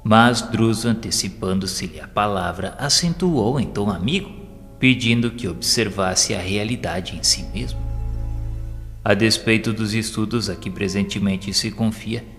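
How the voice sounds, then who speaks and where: A Brazilian man reading an audio novel as narrator, in a formal, measured storytelling manner. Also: on the low side, fairly bright tone. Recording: long hall reverb under music. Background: music.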